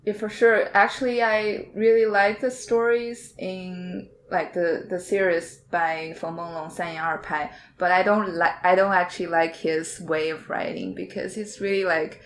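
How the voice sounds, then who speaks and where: Low-pitched woman, blunt, over faint noise. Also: steady tone, a little slow, few pauses. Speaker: podcaster on a panel. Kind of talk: casual panel discussion.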